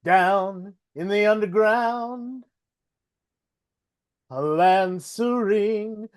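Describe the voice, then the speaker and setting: A performer singing a slow opening song before a read-through. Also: mid-range voice, no room sound, studio quality. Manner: drawn-out singing.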